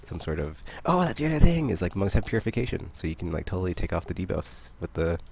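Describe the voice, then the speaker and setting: A man hosting a podcast, chatting about games in a rambling, excitable way. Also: deep voice, fast talker, gravelly, slight background noise. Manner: rambling, excitable, laughs often. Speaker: podcast host. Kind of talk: casual gaming chat.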